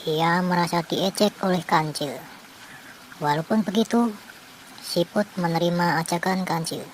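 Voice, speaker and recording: A woman narrating a children's story in a gentle, clear storybook manner. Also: on the low side, steady tone, unhurried, slight background noise, poor audio.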